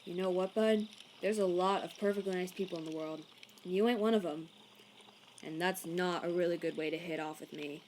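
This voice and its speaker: A woman voicing a laid-back, quietly menacing male skeleton who talks in casual slang, for an animated comic dub. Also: fairly low pitch, a little fast, slight background noise, lightly acted. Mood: wary, cool.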